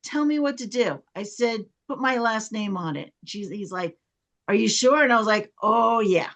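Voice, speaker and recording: A high-voiced woman chats through a personal story as a guest. She is casual and talkative, slipping into quoted back-and-forth as she retells it. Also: animated, normal speed, a bit bright. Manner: chatty, reenacts dialogue when retelling. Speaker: guest telling an anecdote. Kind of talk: casual animated anecdote telling.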